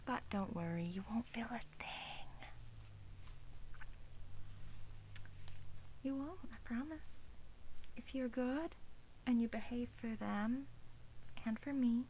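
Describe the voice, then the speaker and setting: A woman playing a coaxing, politely menacing vampire in a soft-spoken ASMR roleplay, gentle and hesitant, often slipping into a whisper. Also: a little high, measured pace, slight background noise, rough recording. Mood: soothing menace.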